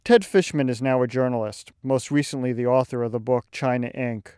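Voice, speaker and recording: A man hosting and narrating a radio piece in a measured, scripted way. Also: medium pitch, a little mellow, clean tone, no room sound, clean studio sound. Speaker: radio host.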